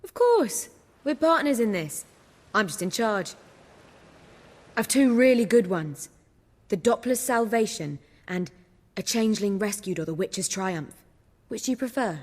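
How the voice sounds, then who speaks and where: An actress playing an excitable, self-assured playwright in a video game, with a theatrical and bossy manner. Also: female voice, mid-range voice, unhurried, long pauses, long reverb tail. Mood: confident.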